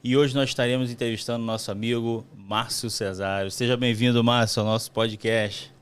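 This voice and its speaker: A man's voice: mid-range voice, fairly even intonation, brisk, clear, resonant tone. A Brazilian man with a Rio de Janeiro accent, hosting a podcast and interviewing in a conversational way. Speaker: podcast host, letter carrier.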